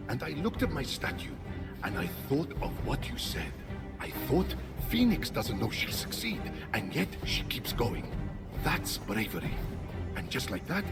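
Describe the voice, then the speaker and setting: An actor playing a blustering, theatrical war god trapped in a chicken's body, in an animated video game. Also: male voice, medium pitch, creaky. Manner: theatrical, pompous, self-dramatizing. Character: war god stuck as a chicken, blustering, dramatic, easily flustered.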